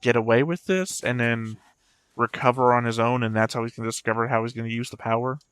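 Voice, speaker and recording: A man's voice: medium pitch, fairly even intonation, quick pace, a bit bright, clear, resonant tone, dry recording, studio quality. A man giving off-the-cuff reaction commentary as a show host, thinking aloud and posing rhetorical questions in a musing way.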